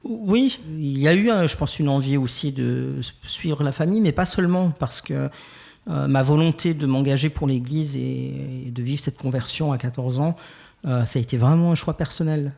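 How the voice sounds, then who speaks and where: Gravelly-voiced Swiss French man, thoughtful. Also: medium pitch, moderate pace, dark tone. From Geneva, Switzerland. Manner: thoughtful, hesitant, many fillers and restarts. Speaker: podcast guest. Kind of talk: reflective personal interview.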